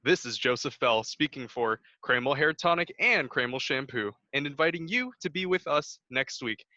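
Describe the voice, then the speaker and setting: A man's voice: mid-range voice, moderate pace, pushed and bright, dry recording, highs cut off, natural voice. A man playing a polished 1940s-style radio announcer, reading scripted credits and sponsor copy. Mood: upbeat.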